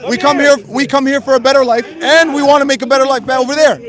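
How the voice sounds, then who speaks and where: A man's voice: extremely high pitch, steady tone, fast. A man at an outdoor street protest, arguing emphatically and repeating his points to an interviewer. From United States. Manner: emphatic, argumentative, repeats himself. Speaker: counter-protester being interviewed. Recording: loud crowd noise behind.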